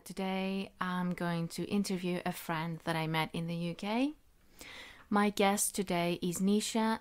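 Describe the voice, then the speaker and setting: A woman's voice: lowish voice, clear, resonant tone. A woman hosting a podcast, introducing her guest and reading out interview questions. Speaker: podcast host.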